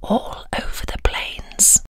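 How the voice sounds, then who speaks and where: A woman voicing a gentle, proud young male spirit of spring in a storybook tale. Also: takes it slowly, dry recording, whispered, natural voice. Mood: gently proud. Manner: gentle, lyrical boasting.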